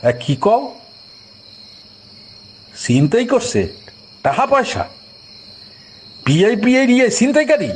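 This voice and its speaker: A man's voice: very high voice, big pitch swings, slow pace, long pauses, a little mellow, slightly rough, exaggerated voice. A man playing a pompous, bullying policeman in an audio drama, with a Barisal accent and odd English words thrown in. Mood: officious, indignant. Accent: Barisal regional Bangladeshi. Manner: hectoring, sprinkles in English words.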